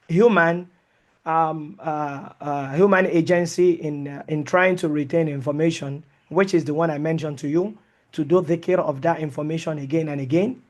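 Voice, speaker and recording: Male lecturer, non-native English, slightly high voice, halting delivery with many fillers. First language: not English. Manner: mixes in Arabic and Islamic terms. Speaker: Sharia teacher. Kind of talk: online lecture with live Q&A.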